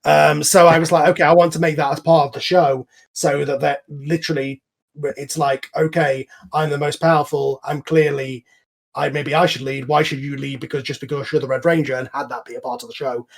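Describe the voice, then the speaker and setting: A man's voice: a little high, little pitch movement, fairly quick, creaky. A man hosting a casual podcast chat over an online voice call, talking in a rambling way. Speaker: host and series creator. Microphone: online group voice call.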